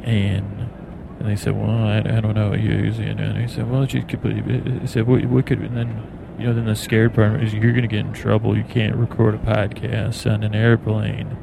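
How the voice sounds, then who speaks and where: A man hosting a bedtime podcast in a meandering, halting ramble, stammering and repeating words. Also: fairly low pitch, creaky. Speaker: podcast host. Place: on an airplane in flight. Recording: steady airplane cabin noise behind voice. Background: airplane cabin drone.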